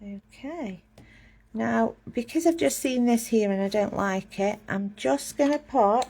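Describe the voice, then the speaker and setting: A woman's voice: a little high, a little slow, vocal fry, mellow, slight background noise. A woman giving a casual live drawing lesson, chatty and self-mocking as she talks through each step while drawing. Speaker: drawing teacher.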